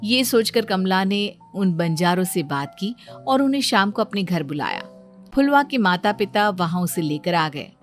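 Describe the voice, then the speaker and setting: A woman narrates a scripted cartoon tale as storyteller. Her voice sits at a medium pitch, with faint music behind it. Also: very animated, normal speed.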